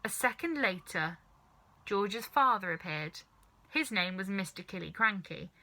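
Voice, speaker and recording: Bright, animated woman reading a story. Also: medium pitch, unhurried. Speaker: storybook reader. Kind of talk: expressive children's story narration.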